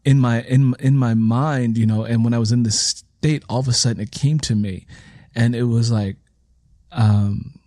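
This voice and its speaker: A man talking casually as an author in an interview, telling stories in an animated way and repeating his phrases as he goes. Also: medium pitch, normal speed, no room sound, studio quality. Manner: animated storytelling, repeats phrases and acts out quotes. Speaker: author in conversation about writing.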